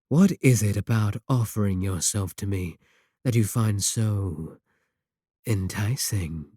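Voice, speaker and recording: A man playing a seductive, possessive evil warlock in an intimate romantic audio roleplay, teasing and deliberate, with a husky voice. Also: on the low side, mellow, dry recording, clean studio sound. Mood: seductive, menacing. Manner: slow, teasing, deliberate, with drawn-out phrasing.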